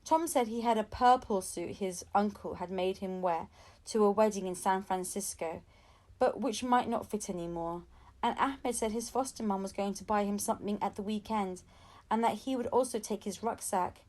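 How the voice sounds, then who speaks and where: A woman's voice: medium pitch, moderate pace, darkish tone. A woman reading a children's story aloud as an audiobook narrator. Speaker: audiobook reader.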